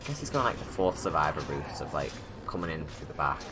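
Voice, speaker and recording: A man's voice: rumbling low, very animated, a little fast, noisy background. A man giving casual, informal livestream commentary, rambling and thinking aloud as he goes. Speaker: video creator giving commentary.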